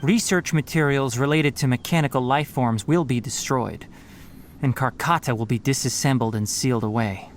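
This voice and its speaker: A young man voicing a calm, rational forest ranger and scholar in a studio game, explaining in a measured way and giving firm instructions. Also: mid-range voice, a little hiss or hum, lightly acted. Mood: businesslike. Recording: game audio with faint music underneath.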